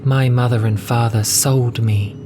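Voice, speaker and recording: A man voicing a gentle young female cat nun in an audio drama, soft and wistful, with earnest storytelling. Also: medium pitch, steady tone, measured pace, noisy background, lightly acted. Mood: rueful, bitter. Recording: music bed under voice. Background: music.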